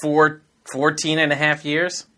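A man in his thirties co-hosting a comedy podcast. His voice is bright and forceful, with a sharp edge. He pushes back on teasing with incredulous, joking defensiveness. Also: on the high side, completely flat delivery, measured pace. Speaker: podcast co-host. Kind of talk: casual comedic chat.

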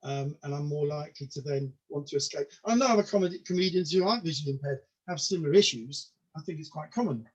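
A man in his sixties whose first language was Polish, a comedian chatting as a guest in a hesitant way, repeating words and filling pauses. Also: fairly high pitch, a little mellow. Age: 60s. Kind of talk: casual interview talk. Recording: dull lo-fi sound.

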